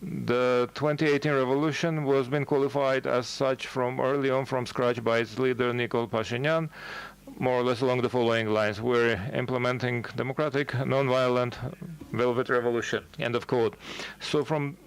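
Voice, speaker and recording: A man delivering a formal academic lecture as a scholar, speaking in measured, halting phrases. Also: medium pitch, fairly even intonation, clean tone, low-quality recording.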